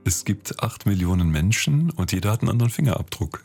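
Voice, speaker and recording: A man's voice: lowish voice, big pitch swings, quick pace, creaky, a little mellow, soft background music. A man, a clergyman, explaining things in an interview in a plain-spoken, colloquial way.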